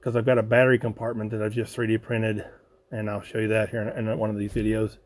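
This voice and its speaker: Halting American man thinking aloud. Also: on the low side, flat delivery. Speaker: hobbyist video host. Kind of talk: casual unscripted project walkthrough.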